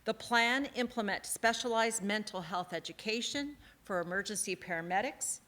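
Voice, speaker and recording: Woman council member reading her award speech on stage, earnest and measured, normal pitch, podium mic in a theatre. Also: sharp tone. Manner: earnest, emphatic, measured delivery. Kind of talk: prepared ceremony speech.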